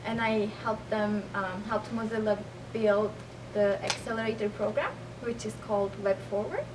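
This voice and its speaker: A woman from Turkey recounts her path in an earnest, slightly hesitant way. She sits back from the microphone. Steady background noise fills the recording. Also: mid-range voice, moderate pace. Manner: earnest, storytelling, some hesitation. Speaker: accelerator program manager. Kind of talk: interview answers. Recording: distant mic, noisy ambience.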